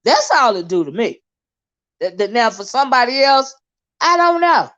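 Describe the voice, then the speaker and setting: A woman's voice: on the high side, sing-song, normal speed, fairly bright tone. A woman hosting her own commentary stream, talking bluntly and emphatically, circling back on her points with plenty of fillers. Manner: blunt, emphatic, repeats herself with many fillers. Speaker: commentary channel host. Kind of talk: live-stream commentary monologue. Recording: dull lo-fi stream audio.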